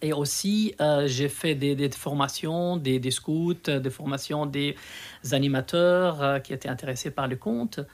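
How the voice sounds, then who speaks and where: Higher-voiced Lebanese man telling his experiences. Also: a little slow. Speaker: storyteller, interview guest. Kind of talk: conversational storytelling in an interview.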